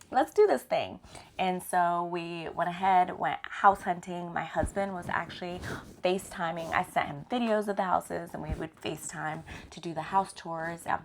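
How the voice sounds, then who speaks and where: A woman's voice: lowish voice, gravelly. A woman in her thirties, a former nurse, telling her life story casually to camera with plenty of "like" and "um" fillers. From born in Zimbabwe, raised in Texas, US. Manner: chatty, lots of "like" and "um" fillers.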